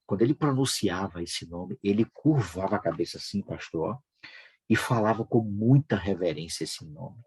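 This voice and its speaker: A Brazilian man hosting a devotional broadcast, speaking informally and fervently with a husky voice, repeating phrases for emphasis. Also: low-pitched, lively intonation, normal speed, a little mellow. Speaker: host of a devotional prayer broadcast.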